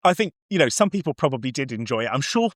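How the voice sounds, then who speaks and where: A man chatting casually about films, acting out bits of dialogue as he tells it in an animated way. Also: mid-range voice, fast, no room sound, studio quality. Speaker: podcast guest film reviewer. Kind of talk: casual, chatty film discussion.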